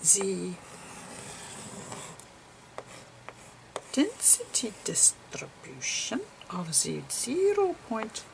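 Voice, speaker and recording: A woman voicing an eccentric, patient male foreign physicist in an ASMR roleplay, whispery, with halting non-native grammar. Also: mid-range voice, wildly swooping pitch, a little hiss or hum, full character voice, poor audio. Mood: patient explaining. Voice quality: whispery, breathy.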